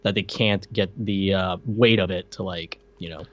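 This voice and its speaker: Casting: male podcast co-host, low-pitched, blunt casual recapping, slightly dull lo-fi audio. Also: steady tone, normal speed, no echo. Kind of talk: casual film recap chat.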